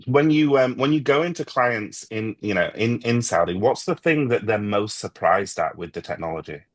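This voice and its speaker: A man's voice: on the low side, animated, normal speed, no room sound. A middle-aged man from the United Kingdom interviewing a guest in a casual, conversational way. He asks long, winding questions.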